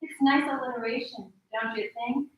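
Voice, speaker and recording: A woman's voice: sits high, fairly even intonation, moderate pace, lightly acted. A young woman acting in a staged reading, playing a cheeky, dramatic member of a friend group in conversational banter. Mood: playful. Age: young adult. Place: echoey room at a staged reading. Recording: very echoey hall, distant mic, noisy.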